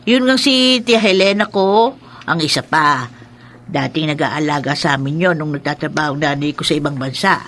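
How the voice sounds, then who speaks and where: An actress playing a feisty, doting elderly grandmother in a radio drama, teasing and bossy in a lively way. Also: sits low, normal speed, slight background noise. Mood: reminiscing. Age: older. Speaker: grandmother character.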